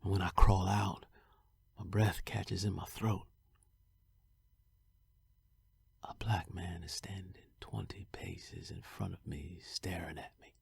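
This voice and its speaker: A young enslaved man on the run, played by a male actor, tells his own story in a historical drama. His voice is deep and very husky, with a gravelly creak. He speaks in a hushed, halting, heartfelt way, worn out but set on freedom. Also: little pitch movement, heavily acted. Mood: weary, intense. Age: young adult. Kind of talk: dramatic first-person historical narration.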